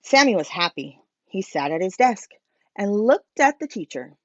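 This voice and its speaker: A woman reading a children's storybook aloud as a teacher, in a simple, expressive manner for young listeners. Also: medium pitch, moderate pace, no room sound, lo-fi sound.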